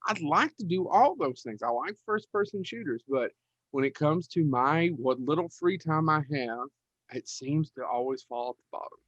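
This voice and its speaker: Middle-aged male co-host, slightly high voice, self-deprecating rambler, casual delivery over dull lo-fi audio. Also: animated, moderate pace, no room sound. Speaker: podcast co-host. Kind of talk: casual, rambling podcast chat.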